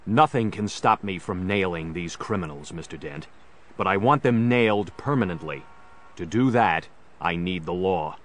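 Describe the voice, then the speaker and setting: An actor playing a stern, determined masked vigilante in a children's superhero audio drama. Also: male voice, sits low, expressive, moderate pace, slight background noise. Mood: grave, determined.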